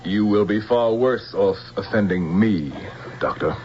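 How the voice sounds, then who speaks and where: An actor playing a menacing, imperious general in an old-time radio drama, giving clipped commands and cold threats. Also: male voice, fairly low pitch, unhurried, natural voice. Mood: cold menace. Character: menacing, commanding male general plotting interplanetary war. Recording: muffled vintage radio broadcast, faint hiss.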